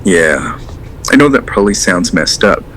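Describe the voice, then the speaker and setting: A man's voice: low-pitched, sing-song, moderate pace, fairly bright tone, a little hiss or hum. A man acting as a hesitant, rambling caller to a late radio show in an audio drama, earnest and unsettled. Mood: reflective. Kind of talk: audio drama, naturalistic phone-in call.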